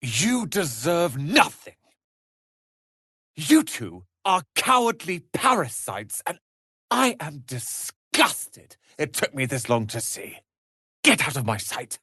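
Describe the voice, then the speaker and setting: A man voicing a proud, sharp-tongued young wizard's apprentice and protective brother in a fantasy video game, articulate and theatrical. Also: fairly high pitch, big pitch swings, takes it slowly, husky, no echo, clean studio sound, heavily acted. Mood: angry, indignant.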